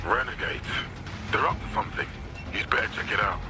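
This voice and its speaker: An actor playing a commanding, theatrical military leader in a video game, emphatic and grandiose. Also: male voice, fairly low pitch, brisk, over music, close to an everyday voice. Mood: urgent, commanding. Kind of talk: cinematic game dialogue.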